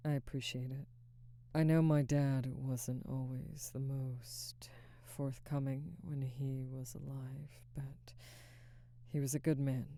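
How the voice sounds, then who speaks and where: A young woman playing a guarded, burdened werewolf pack alpha in a soft, hesitant, intimate ASMR roleplay close to the microphone. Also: very deep voice, dry recording, exaggerated voice. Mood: awkward, wistful. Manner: hesitant, soft-spoken, halting, breathy sighs. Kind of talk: ASMR audio roleplay, intimate scripted monologue. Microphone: close microphone, dry.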